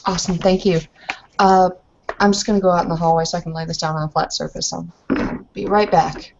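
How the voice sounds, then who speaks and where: A woman's voice: lowish voice, fairly even intonation, a little fast, vocal fry, a little mellow. Casual woman gamer, slightly muffled sound. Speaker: tabletop game player. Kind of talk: casual game chat and role-play. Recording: slightly muffled home mic.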